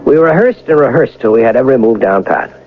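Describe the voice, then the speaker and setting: An actor playing a wealthy, educated young gentleman turned bank robber in a radio drama, polished and formal with measured pauses. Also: mid-range voice, lively intonation, close to an everyday voice. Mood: wry recollection. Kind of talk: radio drama acting and first-person narration. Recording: muffled vintage radio broadcast.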